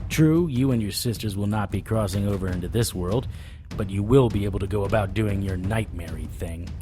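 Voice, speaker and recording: A man's voice: on the low side, fairly quick, over music, natural voice. An actor playing a cocky, wisecracking paranormal investigator in an audio drama, sarcastic and flippant as he talks and narrates. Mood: taunting, firm. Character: wisecracking, cocky male supernatural investigator narrating his case. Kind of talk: audio drama, first-person noir-style narration and dialogue.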